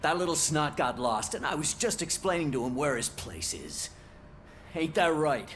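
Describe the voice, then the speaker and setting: An actor playing a bullying, hot-headed police officer in a video game, accusing and taunting. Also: male voice, fairly high pitch, very animated, normal speed, a bit bright, a little hiss or hum. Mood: angry, taunting.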